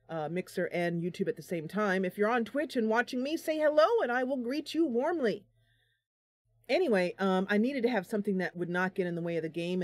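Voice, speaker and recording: A woman's voice: mid-range voice, a little mellow, no room sound, studio quality. A woman hosting a game live stream, chatting casually and explaining things as she goes.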